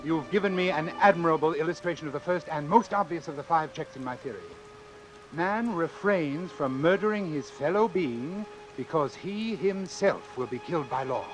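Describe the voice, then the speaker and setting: An actor playing a coolly confident, obsessive doctor in a vintage radio suspense drama, speaking formally and precisely. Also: male voice, a little high, expressive, normal speed, faint music behind, close to an everyday voice, low-quality recording. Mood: insistent, sure. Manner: formal, precise, self-assured. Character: coolly confident, obsessive male doctor running a deadly experiment. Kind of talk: old-time radio suspense drama acting and narration.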